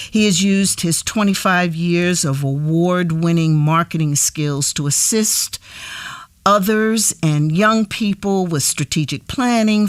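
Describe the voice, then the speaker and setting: A woman hosting an interview show, reading an upbeat introduction and then chatting with her guest with quick, effusive affirmations. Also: fairly low pitch, dry recording. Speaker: show host.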